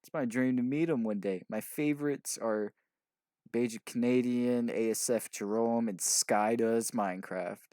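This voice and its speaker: A man's voice: mid-range voice, a little slow, darkish tone, no echo, studio quality. A man reading a story aloud casually, stumbling over sentences as he narrates. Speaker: fanfiction reader.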